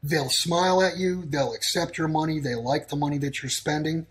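A man's voice: on the high side, normal speed. A man speaking as a guest on a talk show, giving blunt, declarative warnings in short, clipped sentences. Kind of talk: casual talk-show answers. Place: in Texas.

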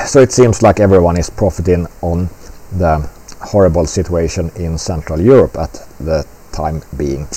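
A man's voice: sits low, moderate pace, warm tone, slightly rough. A man giving casual political commentary in a blunt, rambling way, swearing freely.

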